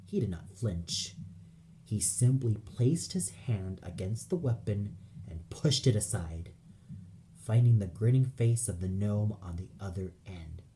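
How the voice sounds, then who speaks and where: A man's voice: lowish voice, lively intonation, unhurried, mellow, slight background noise. A man narrating an audio play in a dramatic scripted style, solemn and theatrical.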